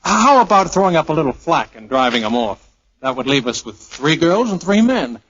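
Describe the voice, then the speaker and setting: Brash, animated young army major. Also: male voice, a little high, moderate pace. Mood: scheming. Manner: slangy, wisecracking. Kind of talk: 1940s radio drama acting. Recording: muffled 1940s radio broadcast, music behind.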